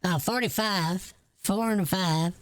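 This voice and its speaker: A woman's voice: medium pitch, slow pace, no echo, close to an everyday voice. Woman doing an older Southern lady, foul-mouthed, folksy, rambling, scatterbrained prank-call character. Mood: agreeable, folksy. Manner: rambling, folksy, crude, easily distracted. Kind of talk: improvised prank phone call.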